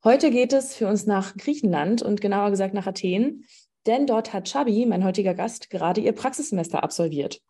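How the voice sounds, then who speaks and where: A young woman hosts a podcast with a relaxed, mellow tone. She interviews a student in a friendly, conversational way, with an occasional filler. Her pitch sits in a middle range. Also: a little fast, breathy edge. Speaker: podcast host from a university international office. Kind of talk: casual interview chat.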